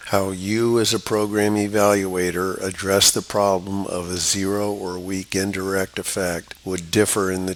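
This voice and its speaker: A man delivers a scripted lecture in a low-pitched voice, weighed down by a cold. His intonation stays very flat. The delivery is formal and measured, that of an academic explaining methods step by step. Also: poor audio. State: has a cold. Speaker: lecturer, book author.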